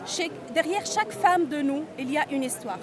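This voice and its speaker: A woman's voice: high-pitched, big pitch swings, normal speed. A Tunisian woman in her forties, an interviewee at a street march, speaking earnestly and often repeating and restarting words. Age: 40s. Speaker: undocumented migrant interviewee. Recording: crowd noise outdoors. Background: crowd.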